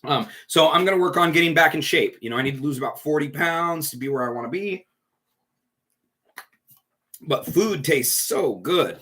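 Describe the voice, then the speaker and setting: A man's voice: on the high side, lively intonation, normal speed, long pauses, bright, forceful tone. A man with a stuffy, congested nose, hosting a chatty livestream talk at home as leader of a recovery group. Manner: chatty, rambling, upbeat. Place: at home indoors.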